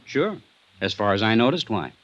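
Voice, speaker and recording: Muffled, touchy young murder suspect. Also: male voice, lowish voice, very animated, a bit bright, no echo. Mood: defensive, earnest. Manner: defensive, earnest, quick to bristle. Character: defensive young male employee under suspicion, touchy, earnest. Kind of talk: vintage radio drama acting. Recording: dull, band-limited old radio recording.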